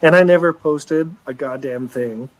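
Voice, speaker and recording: A man's voice: fairly high pitch, measured pace, low-quality recording. A man in his forties talking on a live show in a rambling, earnest way, often restarting sentences and swearing casually. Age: 40s. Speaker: livestream show regular.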